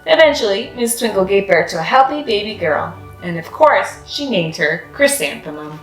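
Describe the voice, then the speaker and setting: A woman's voice: mid-range voice, animated, moderate pace. A woman narrating a children's picture book as a read-aloud storyteller. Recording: roomy echo with faint music.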